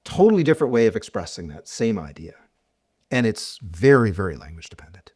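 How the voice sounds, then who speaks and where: A man's voice: lowish voice, big pitch swings, fairly quick, darkish tone, slightly rough. A middle-aged man, a mathematician being interviewed, explaining ideas thoughtfully with frequent restarts and examples. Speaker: mathematician guest. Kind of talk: academic podcast interview.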